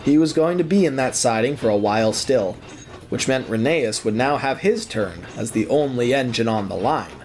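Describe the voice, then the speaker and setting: A man's voice: mid-range voice, animated, normal speed, darkish tone, a little hiss or hum. A man narrating an audio drama in a scripted, dramatic storybook style.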